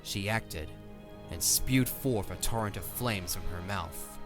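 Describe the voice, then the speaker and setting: A man's voice: low voice, slow pace. A man giving scripted third-person narration for a fantasy audio drama. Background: faint music.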